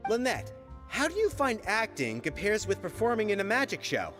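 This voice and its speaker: An eager young-sounding reporter with a high, lively voice. He pushes questions briskly. Music plays lightly behind. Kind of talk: game voice acting. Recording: game dialogue with soft music behind.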